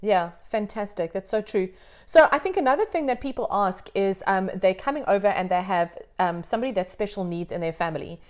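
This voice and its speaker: A woman's voice: medium pitch, expressive, fairly quick. A woman hosting an interview, an upbeat yoga teacher who talks in an encouraging, reassuring way. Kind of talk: conversational interview.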